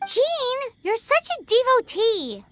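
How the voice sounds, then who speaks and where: A woman voicing a small, childlike floating companion girl in a video game, squeaky, blunt, cheeky and excitable. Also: slow pace, faint music behind, exaggerated voice. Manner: cheeky, exclamatory.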